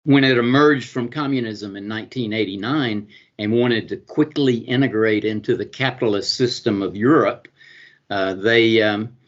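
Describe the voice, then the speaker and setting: Low-voiced Southern man, halting answers. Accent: Georgian Southern US. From US state of Georgia. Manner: hesitant, many fillers and restarts. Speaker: consul and business attorney, guest. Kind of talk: interview answers. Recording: dull lo-fi sound.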